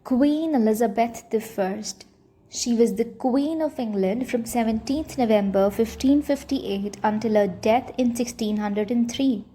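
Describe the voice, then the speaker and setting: A woman's voice: a little high, a little slow, mellow, slightly rough. A woman reading scripted educational narration for a short history film.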